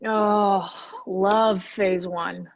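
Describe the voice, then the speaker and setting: A woman's voice: medium pitch, fairly even intonation, extremely slow, gravelly. A woman playing an easygoing, supportive friend in her twenties in a screenplay table read. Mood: upbeat. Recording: dull, lo-fi, dry mic sound.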